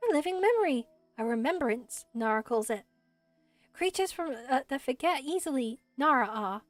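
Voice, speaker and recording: Woman voicing a high-pitched, earnest little forest spirit. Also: no echo. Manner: quaint inverted phrasing, speaks ceremoniously. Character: small gentle forest spirit, solemn, earnest and childlike. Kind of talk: amateur voice acting of video game dialogue.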